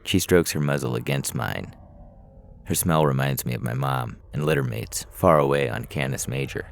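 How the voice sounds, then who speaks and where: A man narrating scripted fiction in a measured, dramatic storytelling manner. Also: rumbling low, gravelly, slight background noise. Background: music.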